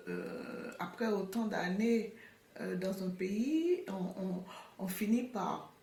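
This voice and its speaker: A woman from Haiti speaks with a Swiss French accent and a slight Haitian trace. She talks very slowly and thoughtfully, with a lively, moving pitch and a gravelly edge. She is recorded from a distance in an echoey room. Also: a little hiss or hum. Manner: slow, hesitant, thoughtful. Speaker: interviewee. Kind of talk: documentary interview.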